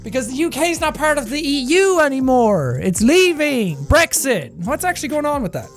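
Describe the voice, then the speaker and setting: A man reading online posts aloud and reacting with energetic, sweary, mock-outraged rants, laughing often. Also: extremely high pitch, normal speed, faint music behind. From Ireland. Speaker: YouTuber.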